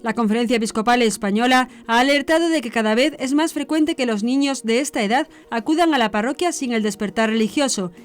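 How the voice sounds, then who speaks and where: High-voiced woman reading news. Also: brisk, few pauses. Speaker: news presenter. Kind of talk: scripted news narration. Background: background music.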